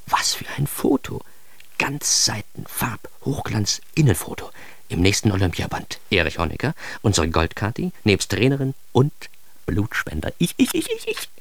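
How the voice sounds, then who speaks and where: A man's voice: fairly low pitch, wildly swooping pitch, moderate pace, no echo, exaggerated voice. An actor playing a self-aggrandizing, wry man telling his own absurd story in a radio drama monologue, ironic and theatrical. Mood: grandiose reverie. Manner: ironic, theatrical, shifting into hushed asides.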